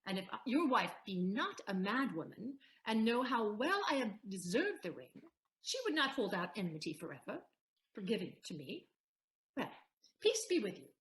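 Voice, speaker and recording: A woman acting a shrewd, poised young lawyer in a Shakespearean audio play, speaking formally and deliberately with a teasing edge. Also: mid-range voice, sing-song, echoey room, natural voice, rough recording. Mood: teasing, insistent. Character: shrewd, poised Portia disguised as a male lawyer.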